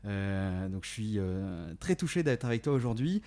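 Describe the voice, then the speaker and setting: A man's voice: lowish voice, sing-song, moderate pace, a little mellow. A man hosting a radio interview, asking questions in a hesitant way with many fillers and restarts. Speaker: radio show host. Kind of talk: interviewing a guest.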